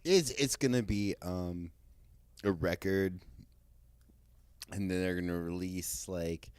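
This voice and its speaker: A man's voice: deep voice, slow, darkish tone, clear, resonant tone, no echo. A man in his forties co-hosting a podcast, swearing freely and talking enthusiastically, often trailing into fillers. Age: 40s. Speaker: podcast co-host. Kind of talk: casual chat.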